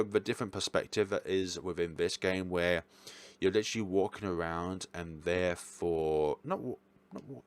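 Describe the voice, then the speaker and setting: A man giving casual ranking commentary as a video host, rambling and often correcting himself as he goes. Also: deep voice. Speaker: video host reviewing games.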